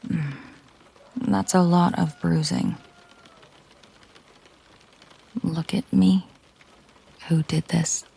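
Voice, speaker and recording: A woman playing a possessive, coolly menacing mafia boss girlfriend in an intimate audio roleplay, teasing and commanding in a breathy, husky murmur. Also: fairly low pitch, dark tone, exaggerated voice. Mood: protective, probing.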